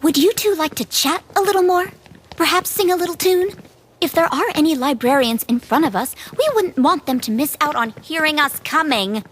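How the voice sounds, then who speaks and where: A man's voice: very high voice, moderate pace, a bit bright, voice pushed up. A man voicing a bossy, sarcastic young female warrior in an audiobook. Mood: biting sarcasm. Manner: sarcastic, clipped. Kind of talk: audiobook character dialogue.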